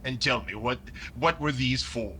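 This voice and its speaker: A man's voice: mid-range voice, moderate pace, bright, forceful tone, natural voice. Hesitant, formal tax inspector male actor, radio comedy straight man, noisy recording. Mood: probing, polite. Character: polite, probing male tax official, mildly baffled. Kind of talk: classic radio sitcom straight-man acting. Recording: noisy recording with room ambience.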